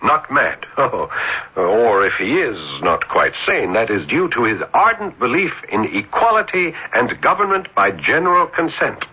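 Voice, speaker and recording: Young student, earnest, brooding, polite and hesitant, swooping intonation, old radio drama, dull lo-fi sound. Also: male voice, mid-range voice, moderate pace, breathy edge. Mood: eager, excited. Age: young adult. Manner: hesitant, polite, excitable, formal diction.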